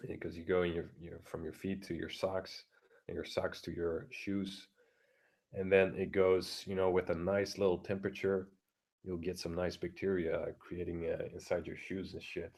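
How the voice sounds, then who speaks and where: Deep-voiced man ranting quietly. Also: little pitch movement, moderate pace. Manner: keeps his voice down, talking quietly; crude, blunt, heavy swearing. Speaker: vlogger. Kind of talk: casual profane rant. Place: home room with neighbors through the walls.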